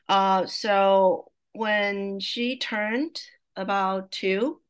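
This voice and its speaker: A woman's voice: fairly low pitch, fairly bright tone. A middle-aged woman from Taiwan with a Taiwanese Mandarin accent, a native Mandarin speaker, chatting casually as a podcast guest, laughing often and correcting herself. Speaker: guest, Mandarin teacher and mother.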